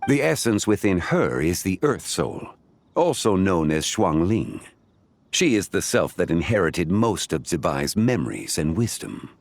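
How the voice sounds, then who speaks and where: An actor playing a calm, learned ancient man in a video game, speaking formally and deliberately. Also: low-pitched, vocal fry, no room sound, heavily acted. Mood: grave, composed. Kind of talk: scripted video game dialogue.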